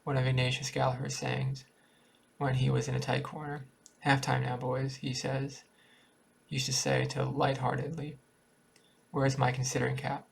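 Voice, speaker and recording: A man reading a literary short story aloud in a halting way, sometimes stumbling over words. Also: medium pitch, completely flat delivery, vocal fry, slightly rough, rough recording. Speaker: amateur story reader.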